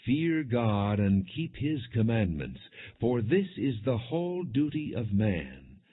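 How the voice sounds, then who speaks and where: A man narrating an audiobook of scripture in a measured, solemn, deliberate way. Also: mid-range voice, sing-song, husky. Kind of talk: scripted scripture reading, non-dramatized audiobook. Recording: muffled, narrow phone-like sound.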